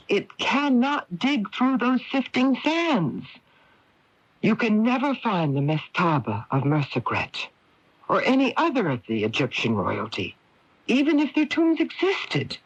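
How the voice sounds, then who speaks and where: An actress playing an archaeologist's candid, skeptical wife in a radio drama, pleading and direct. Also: female voice, medium pitch, big pitch swings, a little slow. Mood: pleading, blunt. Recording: muffled old AM radio broadcast.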